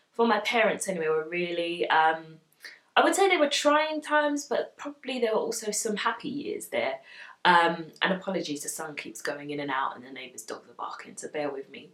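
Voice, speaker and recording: A woman's voice: on the low side, expressive, moderate pace, a bit bright. A young woman from Uganda, raised in the UK, talking casually and candidly to camera in a chatty vlog, with lots of ums. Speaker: style vlogger. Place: room at home lit by studio lights. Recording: roomy, slightly distant mic.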